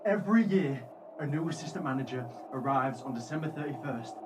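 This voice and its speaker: A man's voice: mid-range voice, lively intonation, fairly quick, natural voice. A man playing a fearful, insistent hotel worker giving grave warnings in a radio play. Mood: grave, urgent. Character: fearful, urgent hotel insider warning of hidden danger. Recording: distant mic, noisy outdoor ambience.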